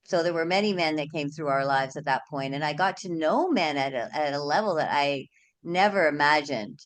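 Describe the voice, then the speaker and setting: A middle-aged woman hosting an interview, talking in an earnest, reflective, halting way. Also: low-pitched, animated. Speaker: host, sexuality coach. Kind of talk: casual interview chat.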